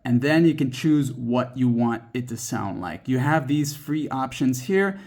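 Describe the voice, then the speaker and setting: A man hosts a how-to tutorial for a mobile app. He speaks straight to the viewer in an instructional way, at a medium pitch, guiding them through each step. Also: lively intonation, a little slow, a little mellow. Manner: instructional, addresses viewer directly. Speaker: tutorial host.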